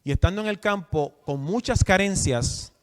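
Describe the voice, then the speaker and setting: Man in his forties, preacher, high voice, emphatic, reverberant hall. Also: normal speed. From Dominican Republic. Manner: asks the audience questions, emphatic. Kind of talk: sermon teaching.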